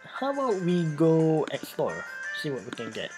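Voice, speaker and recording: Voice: mid-range voice, wildly swooping pitch, normal speed, rough recording. A let's play host giving a dramatic reading of game text with asides, often repeating and restarting phrases. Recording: music behind the voice. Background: music.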